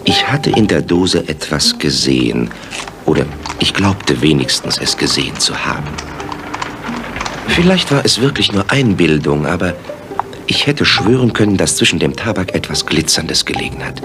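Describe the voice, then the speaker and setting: An actor playing an earnest, polite gentleman sidekick who also narrates in an audio drama. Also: deep voice, big pitch swings, moderate pace, music in the background. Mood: suspicious narration.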